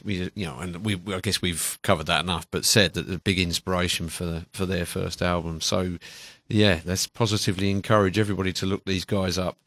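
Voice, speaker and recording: Low-voiced man hosting casual radio. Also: fairly bright tone, dry recording. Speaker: radio show host. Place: radio studio.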